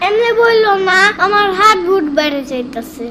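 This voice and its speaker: A woman voicing a small, cheeky, easily spooked child in a comic cartoon, quick to scold back. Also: extremely high pitch, sing-song, noisy background. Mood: nervous.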